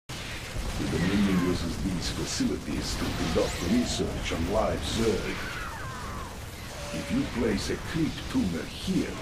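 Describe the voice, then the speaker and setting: An actor playing a grim, vengeful infested military man in a video game, gravelly and commanding. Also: deep voice, reverberant, heavily acted. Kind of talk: video game cutscene and mission acting.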